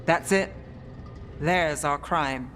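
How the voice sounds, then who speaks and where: Shrewd investigator: deep, direct, matter-of-fact game dialogue. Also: female voice, extremely slow, fairly bright tone, slight background noise, heavily acted. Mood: dry, observant.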